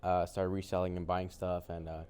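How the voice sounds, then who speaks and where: Young man, from Mexico, Spanish native, low-ish, mellow, smooth, relaxed with fillers. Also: normal speed. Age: young adult. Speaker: guest, vintage clothing reseller. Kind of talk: casual interview conversation.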